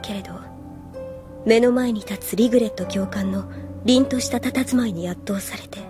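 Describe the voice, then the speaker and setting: An actress playing an earnest, reserved young woman soldier in an anime audio drama, composed and soft-spoken. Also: medium pitch, normal speed, lightly acted. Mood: reflective. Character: earnest, reserved young female soldier recalling her past. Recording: music behind the voice.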